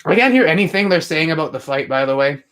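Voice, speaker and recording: A man's voice: fairly high pitch, expressive, fast, fairly bright tone. A young man hosting a casual live stream, talking to viewers in a rambling, chatty way with lots of fillers and restarts. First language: English. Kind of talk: casual live commentary chat.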